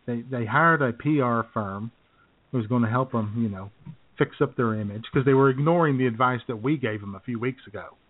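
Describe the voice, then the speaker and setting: A man co-hosts a comedy radio show and banters in a dry, sarcastic tone. He keeps stopping and restarting his sentences. His mid-pitched voice comes through thin and phone-like. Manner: halting, full of "you know" and restarts, dry sarcasm. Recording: tinny phone-like line.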